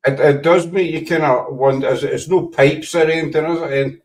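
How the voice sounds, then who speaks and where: Glaswegian male host, relaxed chatter, sits a little back from the mic. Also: a little high, brisk, recorded from a distance. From Scotland. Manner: chatty, laughs easily. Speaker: podcast host. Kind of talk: casual chat.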